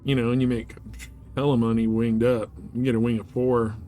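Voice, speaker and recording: A man's voice: medium pitch, level tone, brisk, warm tone, slight background noise. A man chatting casually as he streams a game, laid-back, slangy and prone to swearing. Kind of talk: casual chat with viewers while playing.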